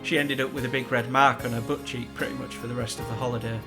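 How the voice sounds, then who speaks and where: A man's voice: medium pitch, steady tone, quick pace, loud music behind the voice. A man reading a scripted eulogy as a narrator.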